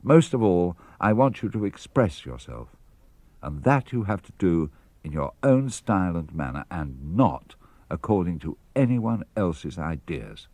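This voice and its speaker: A man reads letters aloud in a measured, earnest, fatherly way. His voice sits fairly low yet rises and falls a great deal. The studio sound is close and dry. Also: big pitch swings, mellow, no room sound. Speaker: reader of letters. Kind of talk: reading letters aloud for radio. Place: radio studio.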